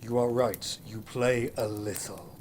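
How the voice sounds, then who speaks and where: An actor playing an imperious, abrupt gentleman of a gothic manor in a period audio drama, firing probing questions. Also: lowish voice, steady tone, a little slow, over music, lightly acted. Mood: commanding. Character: brusque, commanding gentleman master of a gothic manor.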